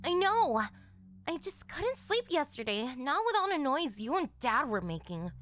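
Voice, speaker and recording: A woman's voice: high-pitched, sing-song, measured pace, a bit bright, heavily acted. A woman voicing a shy, flustered tsundere young girlfriend in an anime-style ASMR roleplay, defensive and stammering, with a whiny edge. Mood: annoyed.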